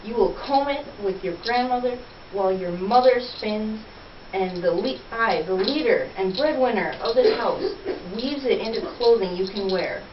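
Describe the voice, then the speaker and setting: A woman's voice: on the high side, normal speed, reverberant, muffled recording, close to an everyday voice. A woman puts on a self-important father for a puppet show. He is stern and commanding, with pompous phrasing. The recording is distant and hissy.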